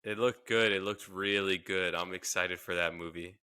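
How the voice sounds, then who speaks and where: A young man with a low, bright and smooth voice, chatting in a laid-back, easygoing way. He laughs a lot and tends to repeat himself as he talks. He sounds like a podcast co-host recording from home. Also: fairly even intonation, moderate pace. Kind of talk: casual chat. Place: home setup, remote recording.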